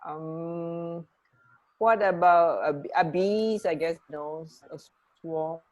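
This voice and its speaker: A woman with a slightly low voice co-hosts a casual radio chat. She speaks slowly, musing over trivia and repeating words to herself. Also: highs cut off. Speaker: radio show co-host.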